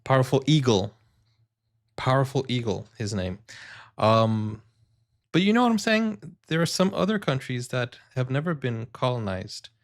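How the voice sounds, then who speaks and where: A man's voice: mid-range voice, big pitch swings, a little slow. A Brazilian man whose first language is Portuguese, speaking English with an American accent, hosting a reaction video in an earnest, rambling way with many fillers. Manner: earnest, addresses viewers directly. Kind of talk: casual reaction commentary.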